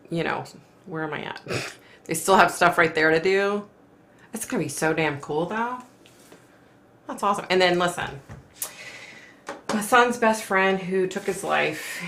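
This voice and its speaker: A middle-aged woman hosting a casual livestream from home, reading viewer comments aloud and reacting in a chatty, rambling way while her voice goes hoarse. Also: deep voice, bright, forceful tone, roomy sound. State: losing her voice.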